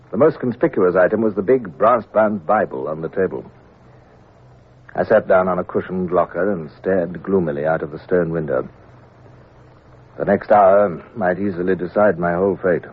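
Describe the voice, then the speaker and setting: A man's voice: low voice, normal speed, breathy edge. An actor playing a proud, brusque naval captain who narrates his own story in a 1950s radio drama. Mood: brooding. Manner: clipped, brusque commands. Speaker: narrator and lead. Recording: tinny old radio broadcast, faint music.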